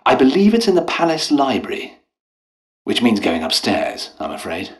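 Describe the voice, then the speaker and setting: An actor playing a polite, eccentric British doctor and scientist in a video game, with refined, hesitant, apologetic and understated speech. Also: male voice, medium pitch, wildly swooping pitch, moderate pace. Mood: musing, professorial. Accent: British English. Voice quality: refined, well-spoken. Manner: courteous, hesitant, understated, chatty.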